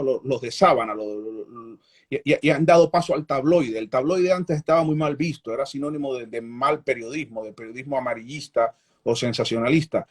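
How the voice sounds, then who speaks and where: A middle-aged Cuban man with a Cuban accent, a journalist answering interview questions in a measured, candid way with frequent restarts. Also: mid-range voice, husky. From Cuba. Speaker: journalist guest.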